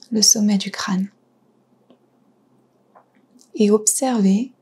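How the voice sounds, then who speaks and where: Very slow, breathy woman guiding meditation. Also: mid-range voice. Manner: soft, breathy, soothing delivery with pauses. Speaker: yoga nidra guide. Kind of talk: guided relaxation meditation.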